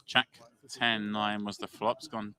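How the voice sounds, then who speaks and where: A man calls the action at a live poker table, naming each move as it happens. His voice is bright and forward with a crisp edge. He sometimes acts out how the players react. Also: on the low side, flat delivery, unhurried, a little hiss or hum. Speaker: poker play-by-play commentator. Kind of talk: live play-by-play commentary.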